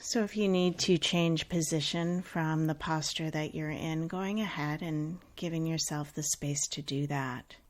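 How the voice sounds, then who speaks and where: A woman leading a guided meditation in a calm, unhurried, gently instructive way with long pauses. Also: sits low.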